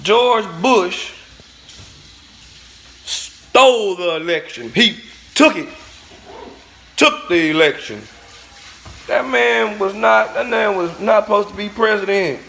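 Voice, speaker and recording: High-voiced man ranting bluntly. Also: takes it slowly, gravelly, long pauses, fairly bright tone. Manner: blunt, profane, preachy, talks straight at the viewers. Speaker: vlogger. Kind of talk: ranting monologue to camera.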